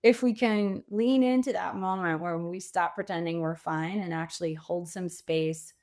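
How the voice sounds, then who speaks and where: This is a middle-aged woman with a voice that sits a little below average in pitch. She speaks conversationally as an interview guest and coach. Her delivery is emphatic, and she illustrates her points with vivid examples. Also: steady tone, moderate pace, darkish tone. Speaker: podcast guest, pivot coach.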